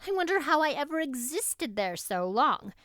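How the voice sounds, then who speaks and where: A woman's voice: sits high, big pitch swings, normal speed, fairly bright tone, no echo, studio quality, voice pushed up. A woman voicing a spiteful, boastful teenage schoolgirl who gushes in slang and offers false pity, in an audiobook story. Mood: aggravating pity, boastful. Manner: gushing, slangy, falsely pitying.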